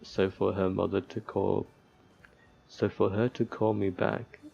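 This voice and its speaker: A man's voice: fairly low pitch, little pitch movement, measured pace, creaky, a little mellow, faint music behind. A man doing casual gameplay commentary, reading game text aloud and sometimes stumbling, recorded with a slightly dull lo-fi sound. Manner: mock-despairing asides, stumbles while reading. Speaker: gaming video host.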